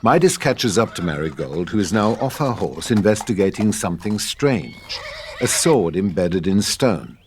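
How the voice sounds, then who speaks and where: A man's voice: fairly low pitch, big pitch swings, normal speed, slight background noise. A man narrating a scripted drama, reading stage directions. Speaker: narrator of a script table read.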